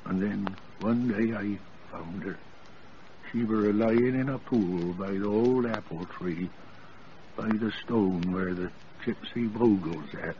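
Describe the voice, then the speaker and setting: A man's voice: fairly low pitch, slow pace. An actor playing a kindly old countryman with an English West Country accent in an old radio drama. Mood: sombre, wistful. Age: older. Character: kindly old rustic countryman recalling a sad tale. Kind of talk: 1940s radio drama acting. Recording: muffled, noisy old radio broadcast.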